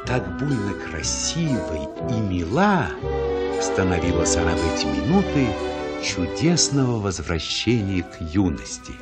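A man playing the narrator of a radio drama, recalling childhood in an expressive, absorbed, poetic manner. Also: low-pitched, a little slow. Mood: swelling admiration. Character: male storyteller recalling his childhood with wonder. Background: music.

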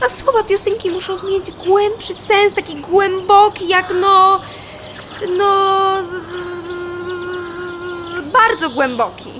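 A woman's voice: extremely high pitch, takes it slowly, long pauses, over music. A woman voicing a dreamy little female fly who composes songs, earnest and flustered, in a children's audio play. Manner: earnest, flustered, self-critical.

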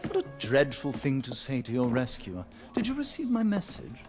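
Game role: urbane aristocratic schemer, theatrical, witty, lively intonation. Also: male voice, mid-range voice, moderate pace. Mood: wry, assured. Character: suave, witty aristocratic schemer, amused and self-assured. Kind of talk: video game cutscene acting. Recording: music underneath.

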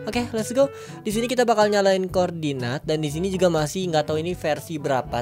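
A young man with a stuffy nose from a cold, chatting casually and upbeat as he reviews a mobile game at home. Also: high voice. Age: young adult. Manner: chatty and upbeat, lots of fillers. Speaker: gaming YouTuber. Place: home room with gaming chair.

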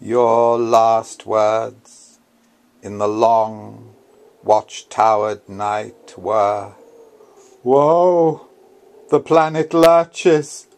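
A man, an author, reading his own literary prose aloud in a deliberate, theatrical, incantatory manner. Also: fairly low pitch, a little mellow.

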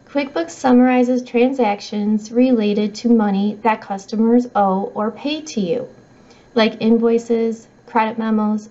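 A middle-aged woman reads scripted tutorial narration in a measured, instructional way. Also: medium pitch, gravelly, dark tone. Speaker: software trainer. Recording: slightly dull, muffled audio.

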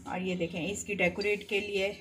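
A woman's voice: mid-range voice, little pitch movement, normal speed, a bit bright, slight background noise. Chatty woman teaching a home recipe. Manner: chatty, instructive, repeats steps patiently. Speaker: cooking channel host. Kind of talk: home cooking tutorial narration. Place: home kitchen counter.

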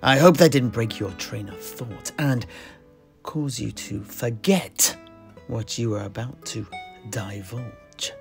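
Man as a sly, gravelly tavern keeper. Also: medium pitch, slow pace, soft background music. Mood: sly, menacingly polite. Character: sly, rebellious male tavern keeper and amateur historian. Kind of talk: in-character fantasy banter.

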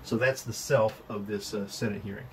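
A man's voice: fairly low pitch, fairly even intonation, a little hiss or hum. Man musing over tarot cards. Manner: halting, many fillers and restarts. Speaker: tarot reader. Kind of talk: unscripted tarot reading. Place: indoors at a table.

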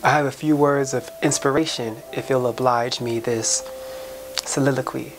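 A woman's voice: rumbling low, steady tone, normal speed, noisy background. A woman delivering an inspirational spoken-word soliloquy in an impassioned, preacher-like way, with folksy, colloquial phrasing.

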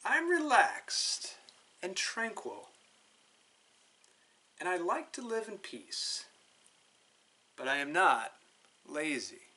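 A man voicing a placid, unflappable storybook sloth with a deliberate, halting delivery. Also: high voice, wildly swooping pitch, bright, forceful tone, voice pushed up, exaggerated voice. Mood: earnest, dawdling.

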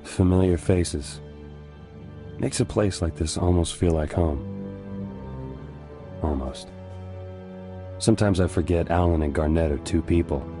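Deep, somber American soldier narrating. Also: male voice, music in the background. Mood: somber, reflective. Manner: measured, introspective. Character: somber, reluctant young American army squad leader. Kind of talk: scripted reflective narration.

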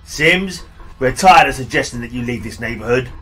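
Guy doing hostile neighbor men, loud and threatening, pitched up. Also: expressive, moderate pace, close to an everyday voice. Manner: shouting threats. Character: angry threatening male neighbors.

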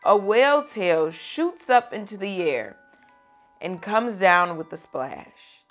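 A young adult woman hosting a read-aloud for children, teacherly, moving between expressive storybook reading and chat with questions. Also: medium pitch, slow, soft background music. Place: small furnished room indoors.

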